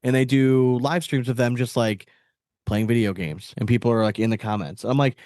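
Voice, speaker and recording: A man hosts a podcast in a casual, conversational way. His voice sits at a medium pitch and is recorded close and dry. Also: moderate pace, darkish tone, no echo. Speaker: podcast host.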